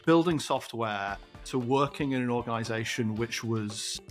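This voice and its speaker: A man's voice: mid-range voice, normal speed, soft background music. A man hosting a conversational interview, reflective and probing. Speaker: interviewer, host. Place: remote video call.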